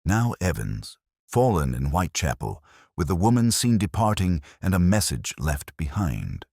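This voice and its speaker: A man voicing a keen, commanding gentleman detective in an audiobook, grave and intense, with formal, deliberate phrasing. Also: sits low, very animated, vocal fry, no room sound, studio quality, heavily acted. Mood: brooding, urgent. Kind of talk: audiobook character dialogue.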